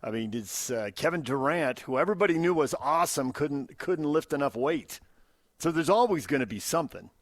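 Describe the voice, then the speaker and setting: An American man hosting unscripted sports talk on radio, explaining his points in a measured way. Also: on the high side, animated, no echo.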